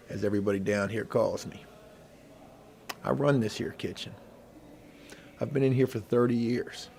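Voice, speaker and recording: A man playing a middle-aged veteran inmate who bosses the prison kitchen, in an ASMR role play with a gravelly, husky voice and a folksy, intimidating manner. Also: mid-range voice, darkish tone, slight background noise. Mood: boastful, stern. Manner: folksy, intimidating, drawn-out menacing delivery. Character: gruff, bossy veteran male prison inmate running the kitchen.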